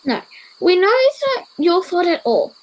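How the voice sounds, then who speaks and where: A woman's voice: extremely high pitch, very animated, a little slow, heavily acted, poor audio. A girl of ten or eleven playing a sensible, caring boarding-school pupil in an amateur radio play. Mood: concerned, reassuring. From Australia. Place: at home over a video call.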